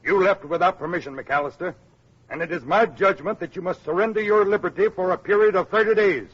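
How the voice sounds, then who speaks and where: A man's voice: high voice, a little fast, a bit bright, breathy edge. An actor playing a stern, rigid male director of a survivor camp in a radio drama, officious and authoritarian. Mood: stern, official. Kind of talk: 1950s radio drama acting. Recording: muffled old radio recording.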